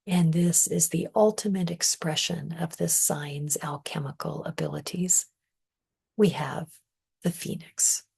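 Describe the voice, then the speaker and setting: A woman leading a guided meditation in a soft, breathy, often near-whispered voice, calm, measured and soothing. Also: fairly low pitch. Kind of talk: guided meditation, scripted narration.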